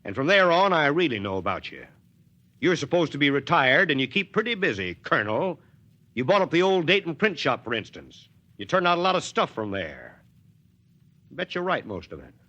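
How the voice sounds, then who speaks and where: An actor playing a principled, weary small-town Texas mayor in a folksy, drily ironic American manner, in 1940s radio drama style. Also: male voice, a little high, very animated, normal speed, pushed and bright, exaggerated voice. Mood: firm, combative. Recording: dull, lo-fi 1940s radio recording.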